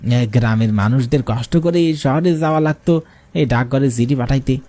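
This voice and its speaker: A man's voice: medium pitch, moderate pace, dark tone, natural voice. A man playing a friendly, chatty village rickshaw puller in a cartoon, speaking rural Bangladeshi Bengali. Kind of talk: cartoon dialogue. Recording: music behind the voice.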